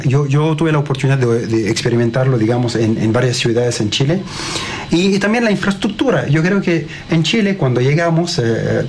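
Halting man full of fillers. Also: mid-range voice, darkish tone, a little hiss or hum. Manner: hesitant, many fillers and restarts. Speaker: telecom technology director. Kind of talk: radio interview guest.

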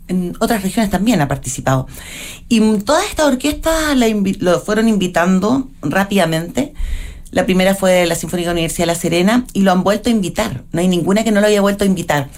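A woman's voice: on the low side, darkish tone. A woman from Chile, interviewing a conductor and carefully reciting his credits and reviews. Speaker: interviewer, radio host. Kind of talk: cultural interview.

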